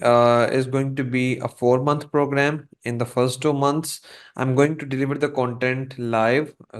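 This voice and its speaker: A man whose first language is Urdu or Hindi, an online course instructor explaining his training program to viewers in a measured, hesitant way. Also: medium pitch.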